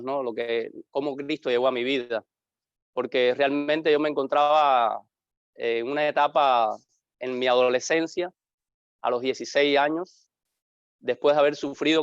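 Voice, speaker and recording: A man's voice: mid-range voice, clean tone, dry recording, dull audio. Earnest Cuban pastor sharing his testimony. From Cuba. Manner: earnest, reflective storytelling with pauses. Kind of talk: interview, personal testimony.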